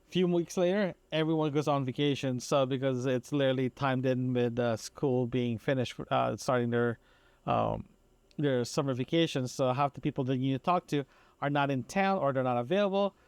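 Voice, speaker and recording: A man recording a casual, rambling podcast diary, talking haltingly, often repeating and restarting his phrases. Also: medium pitch, darkish tone, smooth, no echo. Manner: halting, repetitive, self-interrupting. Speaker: podcast host.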